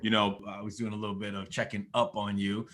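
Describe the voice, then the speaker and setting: A man's voice: on the low side, flat delivery, quick pace, fairly bright tone, slight background noise. A man from Florida hosting a podcast, chatting casually, laughing often and turning to address listeners. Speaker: podcast host. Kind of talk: casual interview chat.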